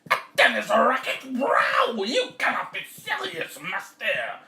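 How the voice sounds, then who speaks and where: A man's voice: very high voice, wildly swooping pitch, slow pace, creaky, crowd noise, voice pushed up, heavily acted. A man voicing a cheeky, theatrical talking cat with an exclamatory, hip way of talking. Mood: mock outrage. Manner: exclamatory, meowing, hip slang.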